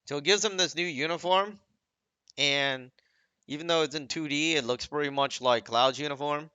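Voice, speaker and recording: A man's voice: fairly high pitch, normal speed, pushed and bright, clean tone, no room sound, highs cut off. A man giving casual, off-the-cuff commentary on game cutscenes, explaining conversationally with rambling asides.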